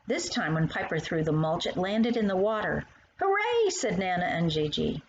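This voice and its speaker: A woman narrating a children's story as its author, in an expressive style that acts out the dialogue. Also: fairly low pitch, moderate pace, muffled recording, slight background noise.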